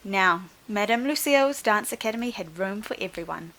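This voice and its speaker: A woman teacher reading a storybook aloud to young children in an expressive, instructive way. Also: medium pitch, moderate pace, a little hiss or hum, low-quality recording. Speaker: primary school teacher.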